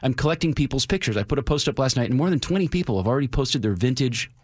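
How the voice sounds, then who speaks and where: A man's voice: medium pitch, expressive, rapid-fire, a little mellow, husky. A man chatting casually on the radio as a contributor, telling stories in an eager, rambling way. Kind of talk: casual on-air chat.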